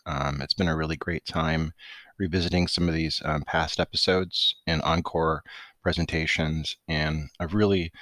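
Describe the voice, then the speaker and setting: A man with a low voice works as a podcast host, moving between casual introductions and interview questions. The sound is dry and close, with little room on it. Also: a bit bright, no room sound.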